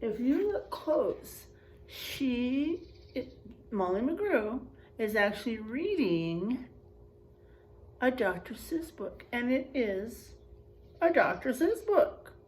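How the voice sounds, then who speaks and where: A woman's voice: on the high side, very animated, takes it slowly, pauses often, a little mellow, slight background noise. A woman teacher reading a picture book aloud to children, expressively, stretching out rhymes and adding chatty, exclaiming asides.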